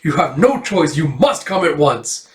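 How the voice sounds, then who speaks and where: A man's voice: fairly high pitch, very animated, a little slow, distant mic, pitched above the performer's own voice. Commanding comic-book superhero, played by a man, portentous, raised voice, room echo. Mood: urgent. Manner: portentous, declamatory. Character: grave commanding male cosmic superhero summoning an ally.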